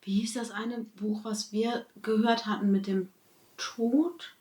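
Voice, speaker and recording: A woman's voice: a little high, fairly even intonation, takes it slowly, warm tone. A woman chatting casually as a podcast co-host. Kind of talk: casual chat. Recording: roomy echo.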